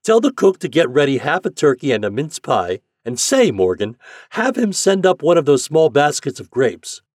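Storybook riverboat captain, played by a male reader: hearty, roaring, pitched up high, dry sound. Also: big pitch swings, moderate pace, a little mellow, breathy edge, dry recording. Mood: warm, brisk orders. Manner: roaring, calling out through a trumpet. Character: jolly, booming riverboat captain, hearty and kind.

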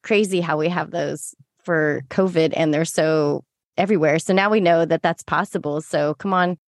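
A woman's voice: sits low, steady tone, normal speed, no echo, studio quality. An American woman co-hosting a podcast in casual conversation, chatty and given to explaining things as she goes. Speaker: podcast co-host and lactation consultant.

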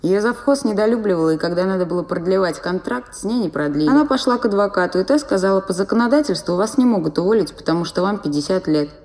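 A woman's voice: mid-range voice, expressive, quick pace, few pauses, darkish tone, in a large hall. A woman reading scripted narration for an audio play. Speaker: actor reading stage directions.

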